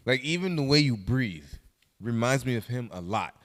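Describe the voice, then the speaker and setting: Animated man in a reverberant room. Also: mid-range voice, moderate pace. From Southern California. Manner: animated, swearing, laughing often. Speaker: podcast host. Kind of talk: casual podcast chat.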